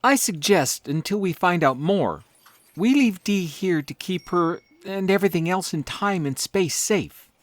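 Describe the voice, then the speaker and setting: A woman's voice: fairly low pitch, lively intonation, a little slow, no echo. A woman playing an eager, newly deputized hero who takes charge in an audio drama. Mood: explaining. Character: Ace, a deputized young time hero.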